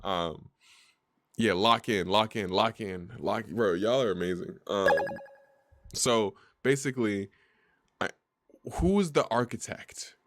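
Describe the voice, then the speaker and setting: A man live streaming and chatting excitedly, often repeating words in quick bursts. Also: on the low side. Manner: excitable, repeats himself rapidly.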